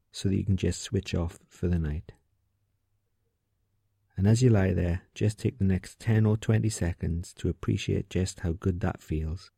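A man guiding a sleep meditation in a soft, gentle, soothing voice, giving calm instructions slowly and leaving pauses. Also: sits low, gravelly, husky, no room sound. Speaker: meditation guide.

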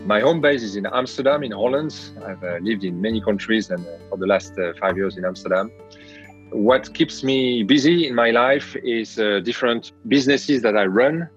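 A man with a French accent, a guest on an interview podcast, speaking in a measured way with frequent pauses and hesitations. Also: lowish voice, muffled recording, soft background music. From France. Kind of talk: reflective interview talk.

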